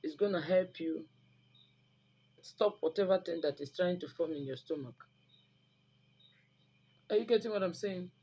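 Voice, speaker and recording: A woman's voice: fairly low pitch, normal speed, pauses often, dark tone, breathy edge. A woman with a Nigerian Igbo accent, a native Igbo speaker, talking informally and instructively to viewers in an emphatic, preachy way, repeating phrases for stress. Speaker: online video host giving herbal remedy advice. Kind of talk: informal instructive talk to camera. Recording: noticeable background noise.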